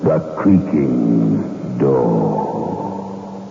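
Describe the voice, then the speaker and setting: Old radio announcer guy, dramatic ad read, swooping voice, muffled, loud music behind. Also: very deep voice, expressive, very slow, vocal fry. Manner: polished, dramatic sales delivery. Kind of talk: old-time radio cigarette commercial and show announcing.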